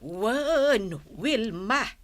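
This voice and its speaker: A woman playing an older storekeeper who gives measured, admonishing peacemaking advice in a Philippine radio drama. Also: a little high, lively intonation. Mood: advising. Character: Nana Mila, calm older neighbourhood storekeeper giving peacemaking advice.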